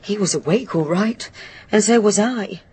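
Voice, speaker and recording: A woman playing a guilt-ridden, stubborn grown daughter in a radio drama, speaking in a halting, anguished, bitter confession that often drops to a murmur. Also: medium pitch, darkish tone, a little hiss or hum, close to an everyday voice. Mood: remorseful, agitated.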